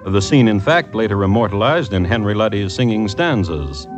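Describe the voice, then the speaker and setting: An actor playing a dry, wry connoisseur of crime, narrating deliberately as host of an old-time radio show. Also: male voice, lowish voice, close to an everyday voice. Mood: droll. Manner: droll, deadpan, deliberate storytelling. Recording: muffled vintage radio broadcast with music.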